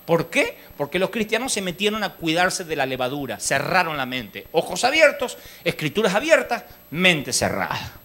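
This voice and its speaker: A man with an Argentine accent preaching a sermon at a church congress, telling lively stories and mimicking the people in them. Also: a little high, normal speed, few pauses. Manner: lively storytelling, mimics people in his anecdotes; rises to loud exhortation.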